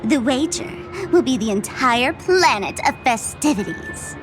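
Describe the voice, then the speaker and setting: A woman's voice: sits high, expressive, a little slow. An actress playing a teasing, gleeful female trickster in a video game, theatrical and mocking, with playful menace. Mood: playful, smug. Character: gleeful, teasing female trickster fool threatening to blow up a planet. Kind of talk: video game character acting. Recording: music behind the voice.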